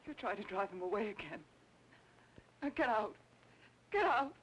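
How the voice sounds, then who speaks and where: An actress playing a distraught, grieving widow, brittle and fixated, in an old film noir. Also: female voice, medium pitch, sing-song, normal speed, a bit bright. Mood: hysterical, defiant. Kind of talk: 1940s film noir acting. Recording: slightly noisy old film soundtrack.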